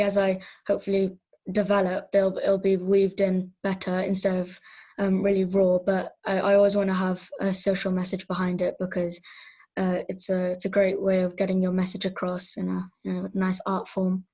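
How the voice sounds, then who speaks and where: A woman's voice: medium pitch, monotone, normal speed, warm tone. A young woman giving reflective interview answers in an earnest, thoughtful way as a budding playwright.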